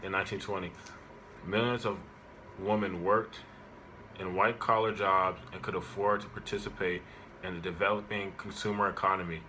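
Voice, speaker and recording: Male student speaker, low and forceful voice, reading a prepared speech with halting phrasing. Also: level tone, a little hiss or hum. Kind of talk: prepared informative speech, read aloud.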